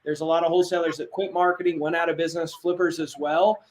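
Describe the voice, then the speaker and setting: A man's voice: a little high, fast, a little hiss or hum. Fired-up man coaching. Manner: energetic, salesy, casual slang. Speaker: real estate coach. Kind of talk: live webinar training.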